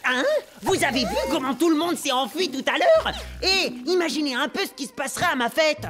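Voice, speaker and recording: A woman's voice: sits high, a little fast, fairly bright tone. A woman voicing an upbeat preteen boy amoeba in a dubbed cartoon, with a bouncy, playful delivery. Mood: sheepish. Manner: bouncy, playful, sings and raps. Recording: music playing under the voice.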